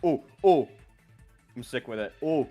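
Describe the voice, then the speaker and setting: A man's voice: medium pitch, animated, a little slow, warm tone, soft background music. A young man streaming a video game, chatting in a casual, slangy, sweary way. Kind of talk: casual game-stream chat with read-aloud.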